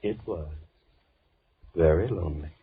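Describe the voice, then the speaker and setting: Low-voiced, courteously sinister lone host. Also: male voice, husky, exaggerated voice. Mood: quietly ominous. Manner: formal, courteous, deliberate. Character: polite, sinister lone host, shadowy soft-spoken man. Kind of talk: 1930s radio thriller acting. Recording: muffled, noisy 1930s radio recording.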